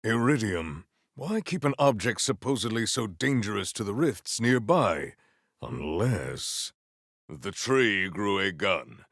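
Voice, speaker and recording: A man voicing a big, formal, earnest warrior in a video game, with stately, measured phrasing and a solemn temper. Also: sing-song, fairly bright tone, no echo, studio quality, full character voice. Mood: measured, grave. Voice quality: deep, gravelly.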